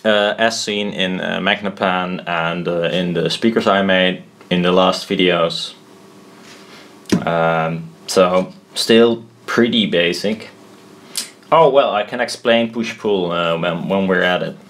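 A man giving an informal tutorial at a desk, thinking aloud in a halting, self-deprecating way. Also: sits low, a bit bright. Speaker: presenter explaining loudspeaker technology. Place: indoors at a desk.

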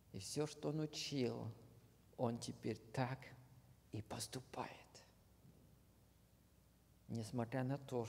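A man preaching a sermon, slow and earnest, often dropping to a hushed near-whisper at the ends of phrases. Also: mid-range voice, gravelly. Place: church hall. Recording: long hall reverb.

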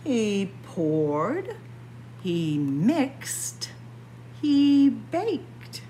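A woman reading a picture book aloud to young children in an expressive manner, addressing them directly as friends. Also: medium pitch, extremely slow, a little hiss or hum. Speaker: library story time reader. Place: indoors in a library, by bookshelves.

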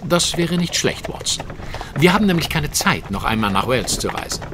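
An actor playing a witty, self-assured detective in an audio drama, speaking precisely and with dry irony. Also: male voice, on the high side, very animated, brisk, noisy background. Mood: analytical. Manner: precise, dryly ironic. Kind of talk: German audio drama acting.

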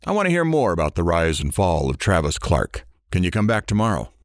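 A man's voice: low-pitched, huge pitch swings, brisk, dry recording, studio quality, exaggerated voice. A man playing a curious, showman-like radio talk show host in an audio drama. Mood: showman-like, engaged.

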